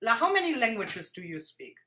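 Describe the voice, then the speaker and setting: A woman from Germany, hosting a relaxed interview and talking chattily with many fillers. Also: fairly high pitch, brisk. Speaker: host. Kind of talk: casual interview chat.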